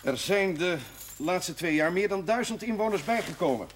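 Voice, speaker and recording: An actor playing a jovial middle-aged parish priest, hearty and self-mocking, in a 1970s television drama. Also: male voice, sits high, animated, normal speed, slight background noise. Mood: warm.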